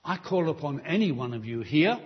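A man preaches slowly and emphatically, his fairly high voice rising and falling widely as he builds his appeals. The tone is a little husky. The recording is dull and lo-fi, as if taken in a church. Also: sing-song. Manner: slow, emphatic pulpit delivery with rising appeals. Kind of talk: sermon.

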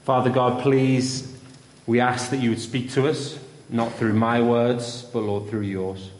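A young man preaching and telling a story in a church, with a loud voice. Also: medium pitch, little pitch movement, measured pace, a little hiss or hum. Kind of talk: sermon with story. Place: church hall. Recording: roomy church with long reverb.

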